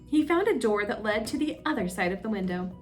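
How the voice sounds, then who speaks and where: A woman's voice: medium pitch, big pitch swings, a little fast, faint music behind. A woman reading a children's storybook aloud as a story time host, expressively, drawing out suspenseful phrases.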